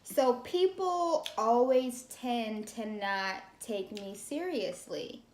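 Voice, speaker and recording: A young woman with a high-pitched voice, co-hosting a casual chat with her mother, frank and self-assured. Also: lively intonation, slow, a little mellow. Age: young adult. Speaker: co-host, daughter. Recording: echoey room.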